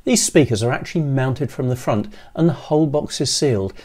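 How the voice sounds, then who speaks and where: An older man in his sixties giving scripted how-to narration in a measured, methodical way, as a presenter. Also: medium pitch, expressive, darkish tone. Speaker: presenter of an electronics how-to video.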